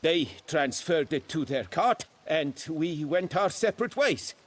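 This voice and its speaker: A man's voice: a little high, expressive, unhurried. An actor playing a frightened, guilt-ridden male wine master of noble birth in a video game, stammering and pleading deferentially. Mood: anxious confession. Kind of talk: dramatic video game acting.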